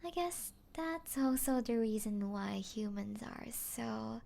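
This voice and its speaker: A woman playing a taunting, playful female vampire in an ASMR roleplay, teasing the listener and often slipping into breathy whispers. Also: a little high, lively intonation, takes it slowly, mellow, full character voice. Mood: amused, teasing.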